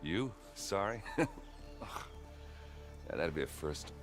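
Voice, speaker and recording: A man acting a gruff, wisecracking battered warrior in a video game cutscene, loyal but sardonic and halting. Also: low-pitched, big pitch swings, over music, exaggerated voice. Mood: hurt, bitter.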